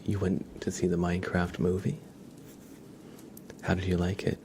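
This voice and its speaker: A man hosting an ASMR livestream, chatting casually in a soft, breathy, often whispered voice with slow, halting, rambling delivery. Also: sits low, level tone. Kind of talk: ASMR, casual chat with viewers. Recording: clear background noise.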